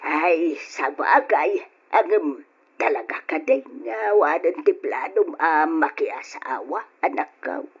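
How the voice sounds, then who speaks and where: A woman playing an older, caring Ilocano mother in an old-time radio drama, with an expressive, sighing delivery. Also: low voice, takes it slowly, slightly rough, full character voice. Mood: concerned. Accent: Ilocano, from the Philippines. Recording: dull lo-fi old radio recording.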